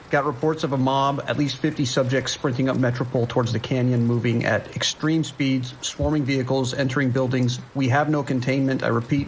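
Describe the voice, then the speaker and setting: A man's voice: medium pitch, slight background noise, natural voice, low-quality recording. A man playing a desperate police dispatcher under siege in a horror audio drama, talking in urgent, clipped, commanding emergency-radio style.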